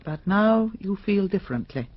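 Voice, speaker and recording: Woman playing lady writer, radio mystery, kindly, brisk, husky voice, lively intonation, muffled recording. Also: mid-range voice, lightly acted. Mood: attentive. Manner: polite, attentive, prompting. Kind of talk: radio drama acting.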